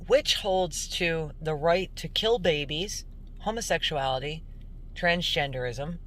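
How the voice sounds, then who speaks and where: A woman giving earnest, emphatic religious commentary as a host, in deliberate narration. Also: low-pitched, fairly bright tone, rough recording. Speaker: host introducing a recorded call.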